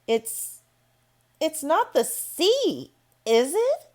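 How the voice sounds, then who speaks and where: A woman's voice: high-pitched, sing-song, extremely slow, pitched above the performer's own voice, heavily acted. A woman voicing a small young girl who sounds uneasy and sullen.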